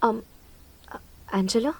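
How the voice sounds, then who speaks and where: An actress playing an awkward, evasive young woman in a radio drama, talking in halting, polite bursts. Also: fairly high pitch, darkish tone, no room sound.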